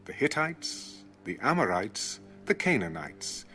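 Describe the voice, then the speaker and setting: A man's voice: on the low side, very animated, slow, pushed and bright. A man narrating scripture in a dramatic, scripted style as an audio Bible reader. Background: music.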